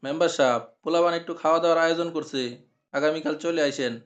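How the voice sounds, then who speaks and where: A man's voice: on the high side, fairly even intonation, fast, highs cut off, lightly acted. A man playing a blunt, outspoken village uncle in a cartoon. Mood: respectful. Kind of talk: cartoon dialogue.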